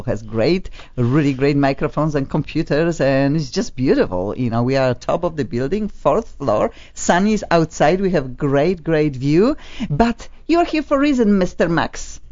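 Low, lively Polish-accented woman host. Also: lo-fi sound. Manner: enthusiastic, repeats words for emphasis. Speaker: talk show host. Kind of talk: casual studio talk show interview. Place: studio on a top floor.

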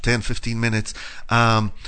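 Man, co-host, casual profane banter, teasing, bright tone. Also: mid-range voice, completely flat delivery, unhurried, smooth. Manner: swears a lot, laughs often, teasing. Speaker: radio show co-host.

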